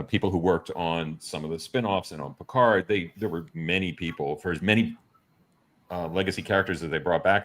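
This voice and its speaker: A man in casual panel conversation, measured and hesitant as he thinks aloud. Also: sits low. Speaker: guest with TV production experience.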